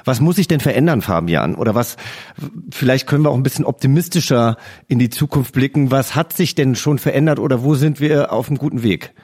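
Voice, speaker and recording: A man's voice: mid-range voice, lively intonation, brisk, darkish tone, breathy edge. A man hosting a conversational podcast interview, speaking in a reflective, personal way. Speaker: podcast host.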